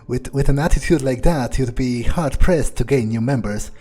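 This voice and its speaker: Mellow man playing a game in character. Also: mid-range voice, moderate pace. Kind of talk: in-character game playthrough commentary. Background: faint game music.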